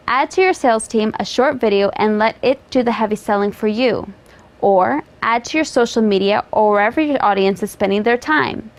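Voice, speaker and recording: A woman reading a scripted promo in a steady, persuasive sales delivery. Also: a little high. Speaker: ad narrator.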